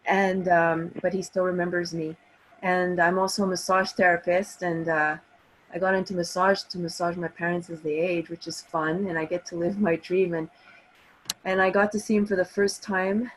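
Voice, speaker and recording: A woman's voice: fairly low pitch, little pitch movement, normal speed, poor audio. A middle-aged woman from Canada, talking casually and personally as a participant on an online call through a laptop. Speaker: participant calling in, massage therapist. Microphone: laptop on an online call, no camera.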